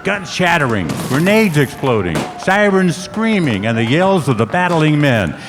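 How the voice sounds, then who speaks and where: A man's voice: fairly high pitch, sing-song, unhurried, over music. A man playing a tough hardboiled private detective, narrating his case in 1940s radio play style. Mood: dramatic, vivid. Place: on stage in a hall. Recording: noisy echoey hall, live stage performance.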